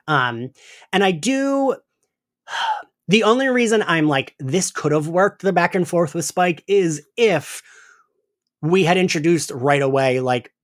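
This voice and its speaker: A man's voice: on the high side, dry recording, studio quality. A man co-hosting a podcast, chatting casually and emphatically as a fan, often slipping into mock dialogue. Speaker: podcast co-host.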